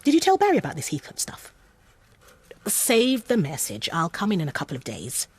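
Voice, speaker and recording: A woman's voice: on the low side, huge pitch swings, a little fast, husky, exaggerated voice. An actress playing a self-assured British female con artist in a radio drama, poised, persuasive and businesslike. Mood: brisk, impatient. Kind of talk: naturalistic radio drama acting.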